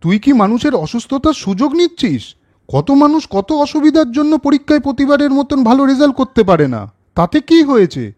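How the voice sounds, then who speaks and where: A man voicing a caring, principled older brother in a children's cartoon story, questioning and gently lecturing. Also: very high voice, sing-song, a little fast. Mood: stern, reproving.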